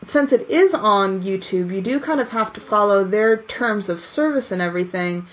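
A woman's voice: medium pitch, expressive, normal speed, lo-fi sound. A woman speaking as a podcast interviewer in a casual style.

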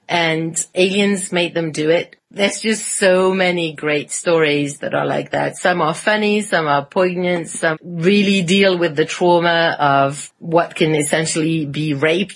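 Low-voiced, husky woman chatting enthusiastically. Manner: enthusiastic, effusive. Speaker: podcast co-host. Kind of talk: casual podcast discussion.

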